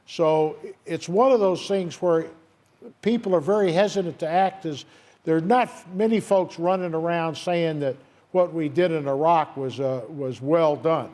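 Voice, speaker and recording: Reflective man, slightly high voice. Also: a little slow, darkish tone. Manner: reflective, hesitant fillers. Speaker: panel guest. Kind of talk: live debate contribution.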